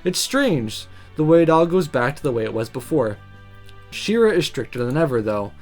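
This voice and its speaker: A woman reading a first-person visual novel story aloud in an expressive, dramatic, introspective way. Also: deep voice, moderate pace, faint music behind. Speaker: visual novel let's player.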